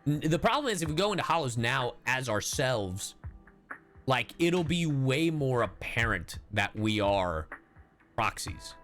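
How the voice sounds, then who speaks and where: A man's voice: medium pitch, big pitch swings, measured pace, a bit bright. A man streaming a video game with casual, playful commentary, sometimes reading text aloud. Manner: playful, profane, hums to himself. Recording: game music behind the voice.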